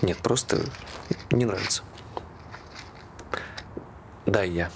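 A man's voice: deep voice, slow, creaky, background noise, heavily acted. An actor playing a reserved, considerate ordinary man with understated, brief replies in a naturalistic stage drama. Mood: gentle.

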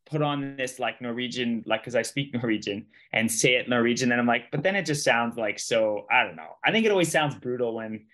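A man's voice: medium pitch, lively intonation, brisk, few pauses, a bit bright. A man hosting a casual sports recap podcast, talking in an emphatic, colloquial, rambling way and often repeating himself. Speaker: podcast host and ski racing commentator.

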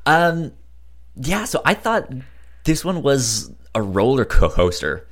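A man with a stutter and a halting delivery, casually discussing films as a podcast co-host. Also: mid-range voice, big pitch swings. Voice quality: stutter, repeats first sounds of words. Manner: halting, hesitant delivery with frequent restarts.

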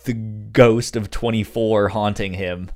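A man co-hosting a podcast in casual chat, halting and sometimes stammering over his words. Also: on the low side, steady tone, faint music behind. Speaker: podcast co-host.

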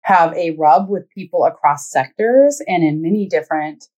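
A woman's voice: low voice, lively intonation, normal speed, darkish tone. A woman, speaking as a podcast host introducing and interviewing.

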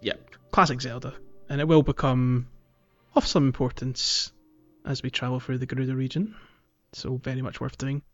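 A man's voice: medium pitch, flat delivery, moderate pace. Male podcast host, slightly dull recording. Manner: informal, explanatory. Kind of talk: casual game discussion. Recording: slightly dull, lo-fi microphone sound. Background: faint music.

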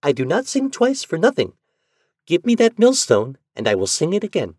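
A man voicing an enchanted storybook bird, eerie and mournful yet proud, in slow, drawn-out singsong verse. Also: fairly high pitch, wildly swooping pitch, darkish tone, breathy edge, no room sound, studio quality, pitched above the performer's own voice, full character voice. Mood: haughty.

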